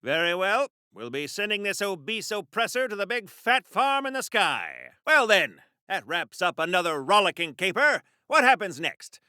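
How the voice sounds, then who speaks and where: A man's voice: high-pitched, very animated, moderate pace, few pauses, sharp tone, smooth, no room sound, studio quality, full character voice. A man playing a chatty, pompous wartime comic-book hero in a video game, with flowery diction in a theatrical 1940s radio serial style.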